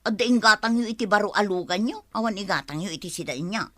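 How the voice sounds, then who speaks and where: An actress with an Ilocano accent playing a plain-spoken fish-vendor sister in a comedy audio drama, reproachful, teasing and expressive. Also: female voice, medium pitch, fast talker, dry recording, clean studio sound. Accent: Ilocano, Philippines.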